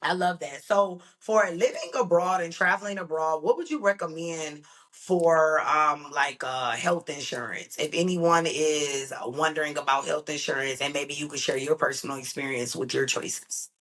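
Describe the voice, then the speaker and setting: Podcast host, middle pitch, affirming, reflective, casual talk. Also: normal speed, a bit bright.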